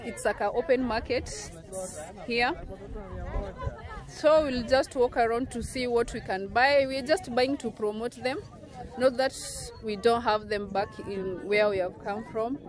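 A woman's voice: fairly high pitch, fairly even intonation, measured pace, crowd noise. A woman with a Kenyan accent vlogging a road trip outdoors, chatty and laughing often as she talks straight to her viewers. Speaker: travel vlogger. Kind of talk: casual travel vlog narration. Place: outdoors by a roadside market. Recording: loud outdoor noise.

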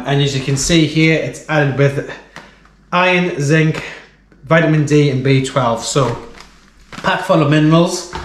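A man in his thirties with an English accent, chatting casually to camera in a vlog and explaining things as he goes, recorded in a small home kitchen on the camera's mic. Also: on the high side. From England. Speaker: running vlogger. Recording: echoey kitchen room sound.

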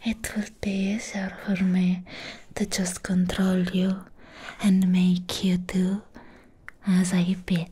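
Woman playing a slow, whispering possessive vampire ex-girlfriend. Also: on the low side, monotone, warm tone, heavily acted. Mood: smug, menacing. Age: young adult. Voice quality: breathy whisper. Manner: slow, drawn-out, coaxing. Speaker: ASMR roleplayer. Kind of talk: soft-spoken ASMR roleplay. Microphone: close microphone.

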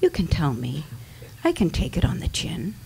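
A woman's voice: low voice, huge pitch swings, normal speed, vocal fry, warm tone, a little hiss or hum, heavily acted, poor audio. A woman playing a vain, blunt, ailing mother, theatrical and dismissive, in a staged dramatic reading. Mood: probing. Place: small dimly lit stage venue. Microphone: microphone on a stand.

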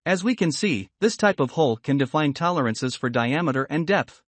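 A man giving scripted, instructional software tutorial narration in a measured, explanatory manner. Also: fairly high pitch, lively intonation, no echo.

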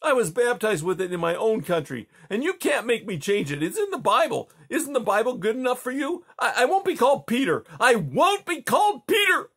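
A man's voice: high voice, expressive, normal speed, few pauses, breathy edge, voice pushed up. A man voicing a proud, defiant young African boy who speaks fiercely and stammers when upset. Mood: indignant.

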